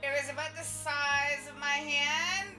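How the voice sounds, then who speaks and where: A woman's voice: high-pitched, fairly even intonation, unhurried, bright, forceful tone. A woman game streamer giving casual live commentary and reading game dialogue aloud. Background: game music.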